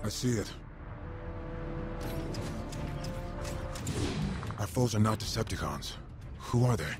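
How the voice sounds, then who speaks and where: An honorable robot swordsman, played by a man in a game. He is stern and formal, low-pitched but widely varied in tone, with music clearly behind him. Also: animated, brisk, close to an everyday voice. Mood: confident. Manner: formal, proud, clipped phrasing. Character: honorable, stern male robot samurai warrior. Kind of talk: dramatic video game voice acting.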